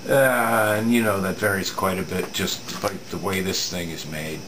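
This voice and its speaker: A middle-aged man giving an unscripted hands-on repair demonstration in a halting, matter-of-fact way, recorded at an indoor workbench. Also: deep voice. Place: indoor home electronics workbench. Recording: steady room hum, air-conditioning noise.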